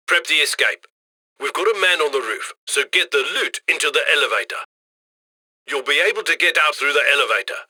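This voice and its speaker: Video game criminal handler: upbeat, cocky male, high-set and forceful, very dry close sound, slick conversational mission directions. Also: no room sound, exaggerated voice. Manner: slick, conversational, egging the crew on.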